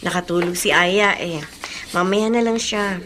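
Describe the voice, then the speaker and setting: An actress playing a devoted, affectionate young wife in a Filipino radio drama, expressive and homely in manner. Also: female voice, fairly low pitch, a little slow, background noise, close to an everyday voice.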